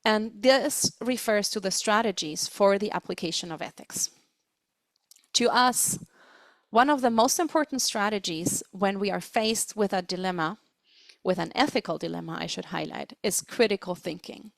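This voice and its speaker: A woman giving an explanatory webinar lecture as a trainer, speaking deliberately and stressing her key words. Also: medium pitch, gravelly, no room sound. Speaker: webinar presenter, interpreting trainer.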